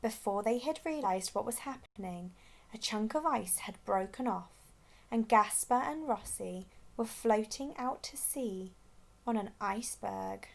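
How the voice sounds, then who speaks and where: A woman storyteller reads a children's book at a leisurely pace. Her voice is on the high side and swings widely in pitch, in an expressive read-aloud style. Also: unhurried, creaky.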